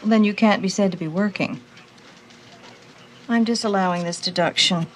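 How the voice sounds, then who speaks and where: A woman acts a strict female tax auditor for television drama. She sounds officious and matter-of-fact at a middling pitch. A faint room noise runs underneath. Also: normal speed, lightly acted.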